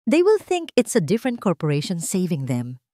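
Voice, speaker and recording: A woman's voice: on the low side, huge pitch swings, normal speed, warm tone, no room sound, clean studio sound. A woman with a Filipino accent voicing a deferential, businesslike corporate associate in an audio drama. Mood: formal, assured. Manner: formal, businesslike.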